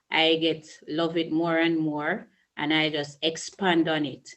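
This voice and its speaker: A middle-aged Jamaican woman, a school principal, answering interview questions in a measured, earnest way with small restarts. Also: low voice. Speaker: early childhood school principal.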